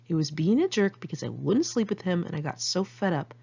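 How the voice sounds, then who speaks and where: A woman's voice: lowish voice, lively intonation, a little fast, vocal fry, darkish tone, husky, lo-fi sound. An American woman reading her own memoir aloud in a candid, conversational, sardonic way. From United States, Los Angeles area. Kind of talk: first-person memoir narration, read aloud in one take.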